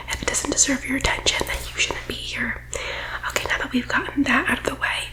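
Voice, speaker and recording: A woman's voice: high voice, rough recording. Whispering woman as a pushy MLM boss. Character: pushy, self-important MLM boss woman leading team call. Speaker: ASMR roleplay performer. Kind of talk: soft-spoken whispered ASMR comedy roleplay.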